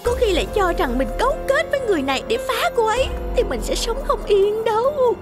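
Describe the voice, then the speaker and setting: A flustered young servant woman in an animated comic, with a southern Vietnamese accent. Her voice is extremely high and animated, anxious and exclamatory, over a music bed. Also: fairly quick. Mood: panicked. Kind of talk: animated motion comic dub.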